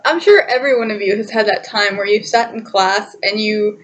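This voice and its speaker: A young adult woman telling a personal story in a casual, chatty, animated way, giggly and often interrupting herself. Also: mid-range voice, brisk, faint music behind, low-quality recording. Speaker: storytime vlogger.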